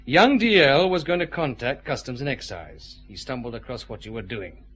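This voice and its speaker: A shrewd, relentless Scottish police chief inspector in a radio detective drama. He interrogates in measured, deliberate steps, with a widely varied pitch that lands hard on each accusation. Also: lively intonation, a bit bright, slightly rough. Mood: methodical, accusing.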